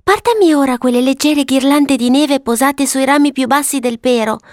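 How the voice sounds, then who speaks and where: A woman voicing a sweet, eager, bossy little girl in a storybook, earnest and excitable as she gives instructions. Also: sits high, normal speed, dry recording, studio quality, voice pushed up, close to an everyday voice. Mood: happily instructing. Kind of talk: storybook character voice.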